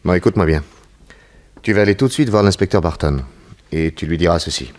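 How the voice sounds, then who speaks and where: An actor playing a shrewd, self-assured undercover detective in a 1960s French radio serial, with a brisk, conversational, commanding way of talking. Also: male voice, deep voice, close to an everyday voice. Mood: confident, instructing.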